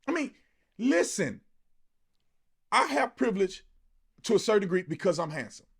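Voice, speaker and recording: A man's voice: high voice, very animated, moderate pace, gravelly, husky. A man with an American accent delivering an impassioned, colloquial opinion monologue, emphatic and full of rhetorical challenges. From United States. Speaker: online political commentator.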